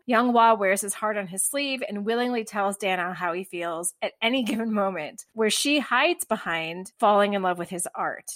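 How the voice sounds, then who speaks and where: A woman talks through her views on a drama in a relaxed podcast chat. She is thoughtful and measured, slowing down to dwell on the words that matter to her. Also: medium pitch. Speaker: podcast co-host. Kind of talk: casual discussion of a TV drama.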